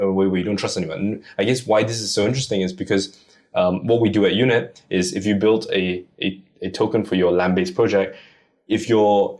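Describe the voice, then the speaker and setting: A man's voice: deep voice, darkish tone. A man from Singapore, a guest on a podcast interview, explaining his ideas persuasively in long run-on lists. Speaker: guest, tech founder.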